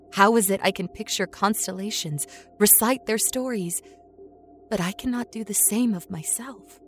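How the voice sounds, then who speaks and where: A woman acting a haunted, introspective captive with no memory, narrating a horror audio story in a measured, brooding way. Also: mid-range voice, natural voice. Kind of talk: first-person scripted horror audio story. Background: music score under the voice.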